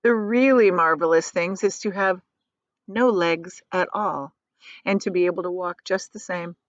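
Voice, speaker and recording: A woman's voice: on the low side, unhurried, dry recording, dull audio, close to an everyday voice. A woman voicing a prim, indignant male earthworm in a storybook, grumpy and disapproving.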